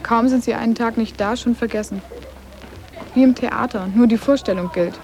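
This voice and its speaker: A cool, sarcastic teenage schoolgirl voiced by a woman, fairly high in pitch. She probes with short questions and dry remarks in the manner of radio drama. There is faint noise in the background. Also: normal speed, a little mellow, lightly acted. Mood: mocking. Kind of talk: 1980s German radio play acting.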